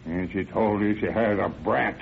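An actor playing a stern old rural American father, gruff, folksy and scolding, in old-time radio drama style. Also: fairly low pitch, moderate pace. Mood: scornful, stern. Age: older. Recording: tinny, noisy old radio recording.

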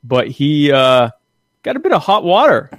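A man's voice: a little high, very animated, moderate pace, no echo, clean studio sound. Rambling, wry man hosting a podcast. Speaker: podcast host. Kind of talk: casual conversational podcast chat.

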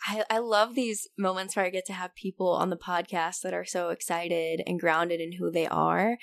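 A woman's voice: lowish voice, little pitch movement, moderate pace, gravelly. Encouraging woman hosting a podcast. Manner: warm and encouraging, frequent affirming murmurs. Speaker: podcast host. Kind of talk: casual interview chat.

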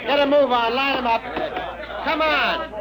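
An actor playing a harried army crew boss shouting urgent orders in an old-time radio drama. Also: male voice, very high voice, fairly even intonation, a little slow, a loud crowd. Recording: loud engine noise, vintage radio recording.

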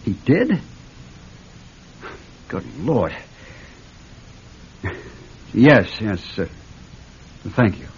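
A man's voice: lowish voice, very animated, extremely slow, long pauses, heavily acted. An actor playing an anxious, stammering husband in debt and caught in his own scheme, in a classic radio drama. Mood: dismayed. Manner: flustered, stammering. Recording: dull, noisy old radio recording.

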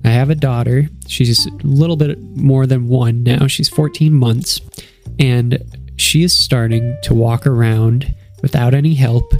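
A man's voice: medium pitch, a little mellow. Stuffy-nosed nasal man hosting a podcast. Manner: chatty, rambling, upbeat. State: stuffy nose from a cold. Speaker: podcast host. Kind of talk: casual solo podcast talk.

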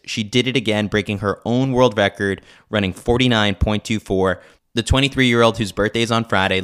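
Male host, lower register, scripted narration of a sports opinion newsletter. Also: a bit bright, clear, resonant tone. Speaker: podcast host reading a newsletter.

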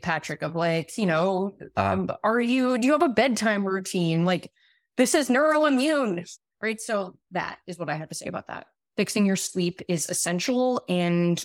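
Female expert guest, late 30s, raspy lower register, halting conversational explainer, close dry sound. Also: no echo. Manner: halting, self-interrupting, many repeated words. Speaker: podcast guest, medical doctor.

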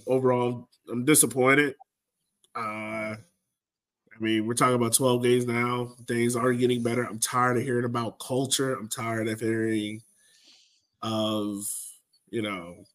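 A man's voice: mid-range voice, fairly bright tone. A man with an American accent hosting a sports podcast, talking informally and colloquially as a fan. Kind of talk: casual soccer fan discussion.

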